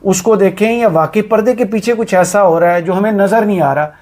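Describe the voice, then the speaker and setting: A man hosts a political talk show, and his voice sits high for a man. He talks in a conversational way, with a sarcastic edge as he puts his questions. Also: brisk, a little mellow. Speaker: host.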